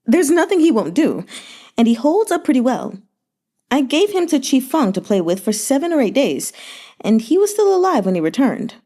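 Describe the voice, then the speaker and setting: A woman voicing a fat, cowardly, debauched old king who blusters and shouts in panic. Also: high voice, big pitch swings, moderate pace, pitched above the performer's own voice, exaggerated voice. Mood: boastful.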